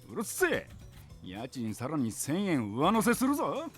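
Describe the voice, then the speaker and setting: An actor playing a gruff, blunt middle-aged shopkeeper and landlord in a visual novel, curt and scolding. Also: male voice, fairly high pitch, wildly swooping pitch, a little fast, faint music behind. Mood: irritated, scolding. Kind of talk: Japanese visual novel acting.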